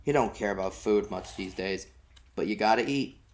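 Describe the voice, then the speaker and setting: A man's voice: fairly low pitch, normal speed. A man reading a first-person novel aloud as an amateur audiobook narrator, in folksy storytelling style.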